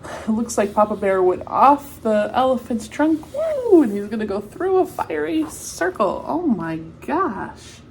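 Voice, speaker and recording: Lady reading a kids picture book out loud, highish voice, lots of wow and wonder, noisy background. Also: measured pace. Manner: expressive, full of exclamations and wonder. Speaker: read-aloud storyteller. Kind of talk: children's picture book read-aloud with chatty asides.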